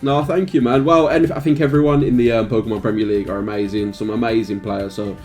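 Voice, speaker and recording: A man's voice: medium pitch, fairly even intonation, fast talker, a little mellow, faint music behind. A man hosting a casual interview, friendly and upbeat, often calling his guest "man" or "mate". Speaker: interview host.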